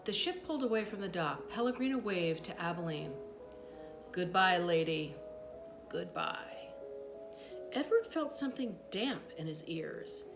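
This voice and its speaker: A woman reading a children's book aloud as an expressive storyteller, with dramatic pauses and brief asides. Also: mid-range voice, over music. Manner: animated, dramatic pauses, acts out dialogue.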